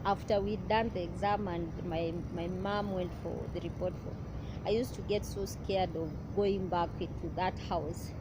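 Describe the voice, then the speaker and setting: A young woman, speaking in an earnest, halting way and often pausing to restart, in a personal vlog. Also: on the low side, fairly even intonation. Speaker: vlogger. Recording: clear outdoor street noise behind.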